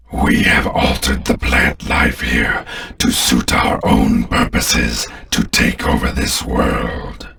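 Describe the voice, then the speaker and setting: An extremely deep alien overlord, speaking slowly and with contempt, as in a video game. The tone is grandiose and forceful, with a gravelly grind, and sounds set a little back from the mic. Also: male voice, far from the mic, exaggerated voice. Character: menacing alien warlord commanding an invading army.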